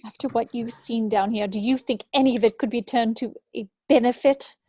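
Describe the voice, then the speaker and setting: A woman at a script table read playing a bitter, grieving woman scientist, speaking gravely and deliberately. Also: a little high, fairly even intonation, warm tone, natural voice. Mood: bitter, accusing.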